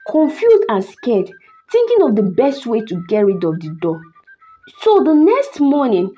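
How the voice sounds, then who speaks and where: A Nigerian woman narrating a scripted folktale, in a dramatic, deliberate way with drawn-out phrases. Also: fairly high pitch, mellow, muffled recording. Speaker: storyteller, channel host.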